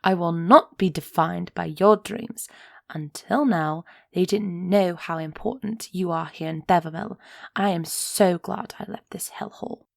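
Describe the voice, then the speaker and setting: A woman's voice: fairly low pitch, measured pace, gravelly, no echo, clean studio sound. A woman playing a young runaway elf heiress in an intimate roleplay audio drama, defiant yet anxious and affectionate, often sighing and sinking into whispers. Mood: defiant, angry. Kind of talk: roleplay ASMR audio drama, close and breathy.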